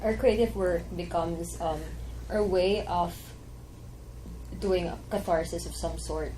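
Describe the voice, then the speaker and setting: A woman's voice: fairly low pitch, measured pace, warm tone, a little hiss or hum, poor audio. A woman guest chatting candidly and easily in a casual talk show conversation. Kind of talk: casual chat.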